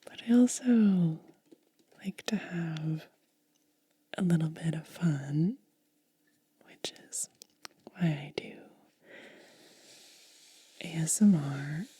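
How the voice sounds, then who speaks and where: A woman hosting ASMR, speaking softly in a breathy, hushed whisper and lingering over short phrases. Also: low-pitched, slow, pauses often, no room sound. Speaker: ASMR video host. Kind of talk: soft-spoken ASMR.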